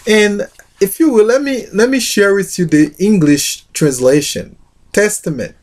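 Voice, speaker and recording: A middle-aged man who introduces a poetry collection and reads a poem aloud, speaking haltingly with many fillers. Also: high voice. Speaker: literature teacher.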